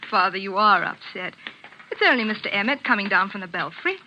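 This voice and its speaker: A woman playing a calm, dutiful vicar's daughter in a 1940s radio drama, soothing and direct. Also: mid-range voice, fairly quick, slight background noise. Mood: concerned, steady. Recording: dull old radio recording, faint music.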